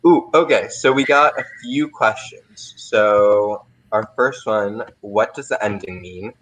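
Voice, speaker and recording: Young guy, lowish voice, playwright doing a chatty livestream q&a, kinda thinking out loud. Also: takes it slowly, low-quality recording. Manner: informal, thinking aloud, often saying "like" and "kind of". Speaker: playwright hosting an audience Q&A. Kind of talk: casual Q&A talk on a livestream. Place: remote video livestream.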